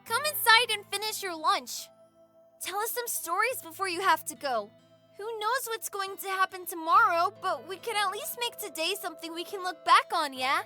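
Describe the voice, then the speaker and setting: Actress as a sweet, earnest youngster in a fantasy audio drama, sparkling and very high. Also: female voice, lively intonation, moderate pace, pushed and bright, faint music behind, full character voice. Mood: encouraging. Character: bright, kind, wise-beyond-years kid from another world. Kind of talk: scripted audio drama acting.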